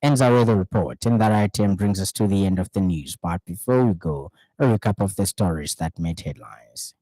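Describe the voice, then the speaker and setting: A man with a Zambian accent reading a radio news bulletin in a measured, formal newsreader delivery. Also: low voice, dark tone, dry recording, poor audio. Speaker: news anchor. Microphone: studio microphone.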